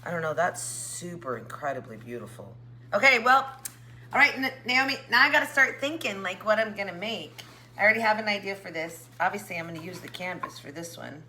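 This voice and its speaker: A woman hosting a casual craft vlog, a little hoarse, chatting excitedly as she opens a package. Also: medium pitch, brisk, slight background noise. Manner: chatty, excitable, jokes with a pet. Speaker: craft channel host.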